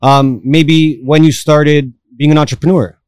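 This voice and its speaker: A man's voice: a little high, fairly even intonation, unhurried, a little mellow, clear, resonant tone, dry recording. A man co-hosting a podcast, laid-back and casual, swearing freely and filling gaps with "um" and "all right?". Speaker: podcast co-host. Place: yacht deck outdoors.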